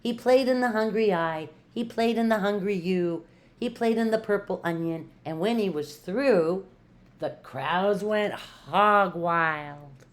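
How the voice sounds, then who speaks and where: An older woman reads a children's picture book aloud at a slow pace. Her delivery is expressive and rhythmic, with playful drawn-out emphasis on key words, in a mid-pitched voice. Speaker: retired teacher reading to children.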